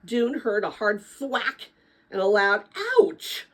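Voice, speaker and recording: A woman reading a children's book aloud in a dramatic, expressive style, with a chatty manner. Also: mid-range voice, takes it slowly. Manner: expressive, chatty when commenting to listeners. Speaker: read-aloud narrator.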